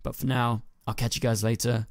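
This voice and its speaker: A young man hosting a channel, talking through entertainment news casually in a hoarse, croaky voice that is going, with rambling asides and fillers. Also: medium pitch, level tone, brisk. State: hoarse, losing his voice. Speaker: channel host.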